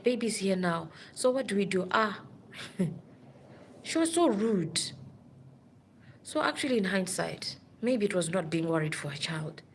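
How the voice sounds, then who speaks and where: A woman's voice: medium pitch, slight background noise. A woman who works as a nurse, telling a long story in a rambling, urgent way on a live stream. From Africa.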